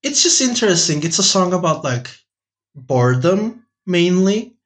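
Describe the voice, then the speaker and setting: Male podcast panelist, higher-pitched voice, casual and enthusiastic chat. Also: sing-song, normal speed.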